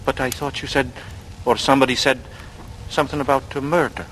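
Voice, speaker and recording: A man's voice: medium pitch, flat delivery, brisk, a bit bright, lightly acted. An actor playing a cocky young man in a radio drama, glib and breezy with mock politeness. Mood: sly, casual. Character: cocky, smooth-talking young working-class man, slyly charming. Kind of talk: 1940s radio acting. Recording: noisy vintage radio recording.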